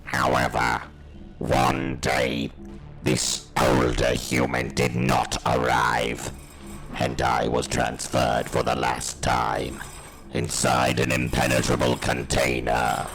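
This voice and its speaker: A man playing a hateful, captive alien war machine in an audio drama, with a harsh mechanical voice and slow, ominous, deliberate delivery. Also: a bass voice, flat delivery, a bit bright, soft background music, heavily acted. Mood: brooding, resentful. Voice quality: gravelly, harsh, mechanical monster voice.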